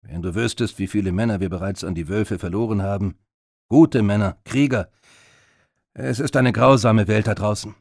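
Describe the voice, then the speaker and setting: A man voicing a gruff, self-assured Viking-like warrior in an audiobook, speaking with weight. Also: on the low side, a little slow, no echo, clean studio sound. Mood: grave.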